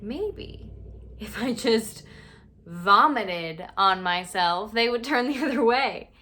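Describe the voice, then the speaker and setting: A woman's voice: medium pitch, animated, takes it slowly, sharp tone. A woman performing a comedic monologue as an exasperated young woman, sarcastic and fed up. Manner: exasperated, sarcastic, mocking men's lines.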